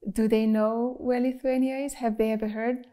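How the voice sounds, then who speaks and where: A woman's voice: a little high, level tone, moderate pace, warm tone. A woman hosting an online interview show, greeting her guest and asking friendly, encouraging questions. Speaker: podcast host. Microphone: video call setup.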